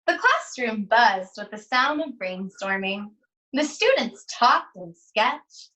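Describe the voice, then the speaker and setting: Woman, higher-pitched, bright voice, slow storybook read-aloud, expressive pauses. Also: distant mic, lo-fi sound, a little hiss or hum. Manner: deliberate, expressive phrasing with pauses. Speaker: art teacher reading aloud.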